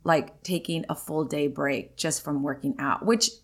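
A woman's voice: low voice, steady tone, unhurried. A woman in her forties hosting her own podcast, talking candidly in a chatty, rambling way with many ums and likes. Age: 40s. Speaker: podcast host. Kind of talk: casual solo podcast chat.

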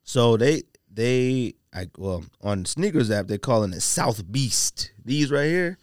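A man hosting a podcast in casual, slangy conversation with animated banter. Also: mid-range voice, takes it slowly, dry recording, clean studio sound. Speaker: podcast host. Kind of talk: casual sneaker chat.

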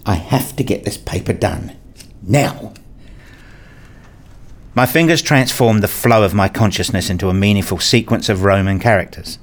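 A man playing an earnest, dryly sarcastic university researcher in a scripted radio play, with a deadpan, formal way of talking. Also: fairly low pitch, normal speed, pauses often, lightly acted. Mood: reflective. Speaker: narrator and lead actor in a radio play. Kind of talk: first-person scripted radio play narration and dialogue.

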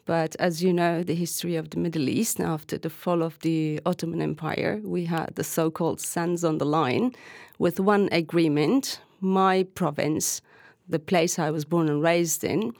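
A woman's voice: fairly low pitch, fairly even intonation, a little slow, a little mellow, no room sound, studio quality. A woman journalist from Turkey, bilingual with Arabic as her first language, answering interview questions thoughtfully, often restarting phrases and laughing lightly. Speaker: journalist guest. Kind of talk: podcast interview.

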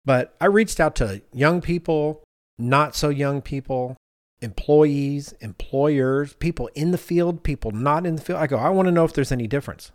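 A man's voice: a little high, moderate pace, no echo, studio quality. A man in his fifties hosting a conversational discussion, talking as a podcast host. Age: 50s. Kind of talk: conversational discussion, reading out responses.

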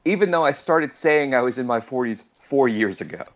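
A man's voice: medium pitch, animated, fairly quick, dull audio. A man in his forties, a returning podcast guest, chatting casually in a rambling, self-deprecating way. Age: 40s. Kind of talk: casual chat.

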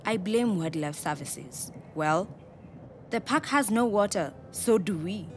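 Woman playing an assertive villager, lively pitch, guitar behind. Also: slow. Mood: indignant.

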